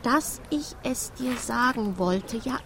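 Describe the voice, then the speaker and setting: An earnest, self-justifying cleaning woman with a fairly high voice. She picks through her rhymed radio drama lines very slowly and hesitantly, over faint ambient noise. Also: expressive, fairly bright tone, exaggerated voice. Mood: apologetic. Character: defensive, embarrassed cleaning woman, wife and heiress.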